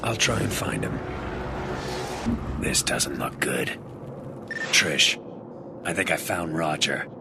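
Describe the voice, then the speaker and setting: An actor playing a gruff, brooding young hero in a video game, terse and low-key, with a gravelly voice. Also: male voice, lively intonation, slow pace, pauses often, noisy background, exaggerated voice. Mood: grim, determined. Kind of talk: video game cutscene acting.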